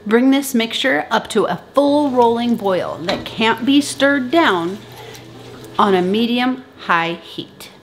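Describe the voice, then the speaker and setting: A woman in her thirties talking to camera in her home kitchen, giving chatty, brisk canning instructions. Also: on the high side, lively intonation, a little hiss or hum. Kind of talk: casual how-to talk to camera. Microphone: camera at close range.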